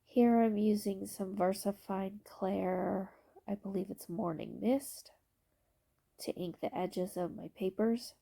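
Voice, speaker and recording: A woman with a stuffy, congested voice narrating her scrapbooking process video, explaining her supplies in a relaxed way with occasional ums. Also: medium pitch, slow, gravelly. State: stuffy, congested from allergy shots.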